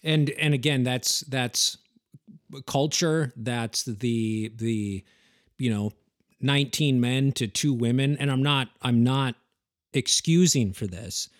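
A man hosting a casual interview, talking informally and haltingly as he thinks aloud. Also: mid-range voice. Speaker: podcast host, former wildland firefighter.

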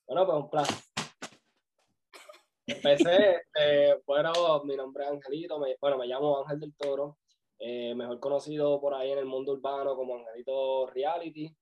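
A man in his twenties with a Puerto Rican accent, chatting casually as a podcast guest and telling his story in a halting, self-correcting way. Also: medium pitch, steady tone, lo-fi sound, a little hiss or hum. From Puerto Rico. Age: 20s. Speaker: podcast guest, music videographer. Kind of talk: casual interview chat.

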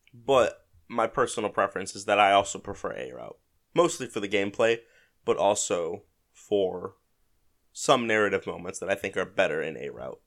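A man's voice: on the low side, fairly bright tone. A man hosting a casual solo podcast, sounding a little congested from a head cold and talking in a rambling, self-correcting way. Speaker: podcast host.

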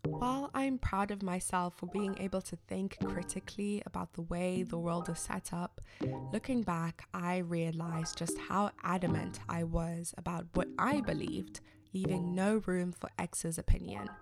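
A young woman from Zimbabwe speaks in a lowish, gravelly voice with a candid, measured tone. She delivers a scripted personal reflection as a podcast host. A loud music bed plays under her. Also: fairly even intonation. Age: young adult.

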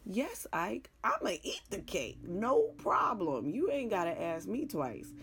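A middle-aged woman hosting a sports show in casual, rambling talk, frank and joking, with a raspy, somewhat hoarse voice. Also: mid-range voice, wildly swooping pitch, a little slow, a bit bright.

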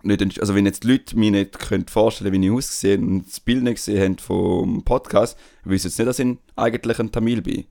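A young man with a Swiss German accent tells a story on a podcast. His voice sits a little low, and he talks in a relaxed, slangy way with plenty of hesitations. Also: brisk, vocal fry, hardly any pauses. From Switzerland. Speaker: podcast host. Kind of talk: casual chat.

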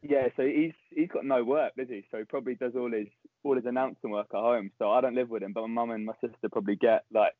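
A young man with a Southern English accent, a podcast guest chatting quickly with dry humour. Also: mid-range voice, fairly even intonation, no echo. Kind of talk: casual interview chat. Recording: tinny phone-like call line, dry.

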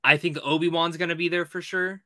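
Very bright, forceful male podcast voice. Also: a little high, level tone, fairly quick, no room sound, studio quality. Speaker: podcast co-host. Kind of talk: casual chat about movies.

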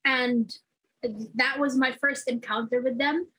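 A woman co-hosts a podcast chat. She speaks slowly in a slightly high, breathy voice and keeps her answers short and plain. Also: fairly even intonation, a bit bright, soft background music, rough recording. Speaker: podcast co-host. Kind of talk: casual chat.

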